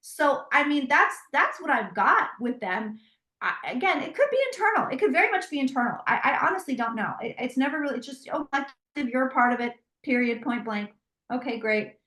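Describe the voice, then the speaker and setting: A woman with a fairly high voice tells stories in an animated, chatty way, often restarting her sentences. She sounds like she is in a roomy, echoey space. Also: brisk. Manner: animated storytelling with many restarts. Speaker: psychic tarot reader. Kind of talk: interview conversation.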